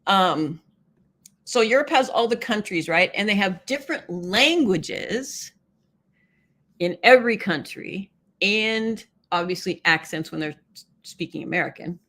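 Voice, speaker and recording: A woman's voice: mid-range voice, animated, measured pace, fairly bright tone. A woman hosting a live stream, chatting casually, laughing often and reading viewer comments aloud. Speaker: livestream host.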